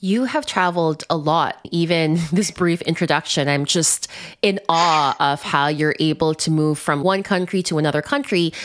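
A woman hosting an interview podcast, friendly and encouraging, laughing easily. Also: lowish voice. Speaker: podcast host. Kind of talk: conversational interview.

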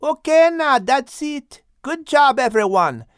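A man's voice: very high voice, animated, takes it slowly, fairly bright tone, no room sound, clean studio sound, pitched above the performer's own voice, full character voice. A man voicing an upbeat storybook flamingo aerobics instructor with a peppy, encouraging manner.

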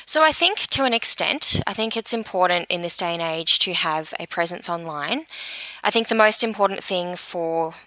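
A woman in her thirties with an Australian accent gives conversational expert advice. Her voice is on the low side yet bright and forward, recorded close and dry. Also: lively intonation, normal speed, no echo, rough recording. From New Zealand. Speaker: guest social media expert.

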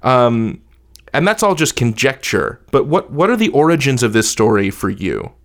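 A man's voice: mid-range voice, very animated, moderate pace. A man hosting a podcast, articulate and wordy, moving between scripted intros and conversational interviewing.

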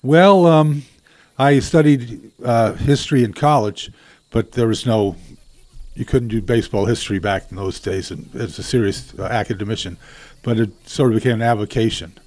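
An older man guesting on a radio show as a baseball historian, answering in a measured way with frequent ums. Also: mid-range voice, darkish tone, no room sound. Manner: measured, many ums and uhs.

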